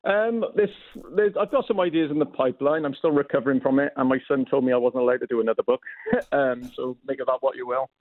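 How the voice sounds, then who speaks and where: A man's voice: mid-range voice, quick pace, no echo. A Welsh man, an author guest on a radio phone-in, talking enthusiastically with many hesitations and repeated words. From Wales. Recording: tinny phone line.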